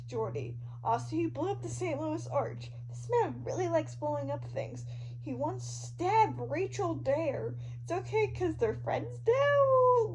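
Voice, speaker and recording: A woman reading fan fiction aloud in an informal, expressive way, stumbling over the odd word. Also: extremely high pitch. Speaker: amateur podfic reader. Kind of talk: expressive fan fiction reading of social media posts and narration. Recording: noisy amateur home recording.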